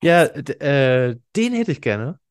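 A man's voice: mid-range voice, sing-song, takes it slowly, clean tone. A man hosting a podcast in casual chat, talking enthusiastically in colloquial, youthful slang. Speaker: podcast host.

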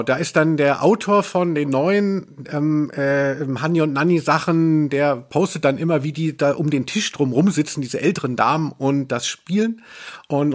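A man hosting a podcast in casual discussion, talking in a halting way as he searches for his words. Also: a little high. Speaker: podcast host, culture journalist.

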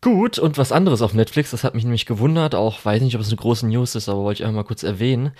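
A man's voice: mid-range voice, fast, darkish tone. A man co-hosting a casual podcast chat, speaking in a rambling, hesitant way with lots of fillers and restarts. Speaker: podcast co-host.

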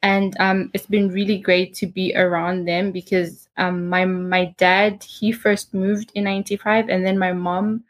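A woman, a hotel marketing manager, answering interview questions in a steady, measured way with frequent ums. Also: medium pitch. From South Africa.

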